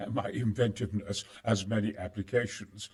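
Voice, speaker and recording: An actor playing a crafty, conspiratorial schemer in a video game cutscene, with a sly, hedging, expressive manner. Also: male voice, fairly low pitch, unhurried, close to an everyday voice. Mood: sly, persuasive. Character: crafty, conspiratorial male schemer sheltering in a monastery.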